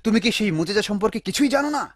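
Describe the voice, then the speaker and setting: A man voicing a pious, earnest villager who scolds and instructs in an animated religious cartoon. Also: sits high, rapid-fire, no room sound, clean studio sound. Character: pious, admonishing male villager guarding a sacred well.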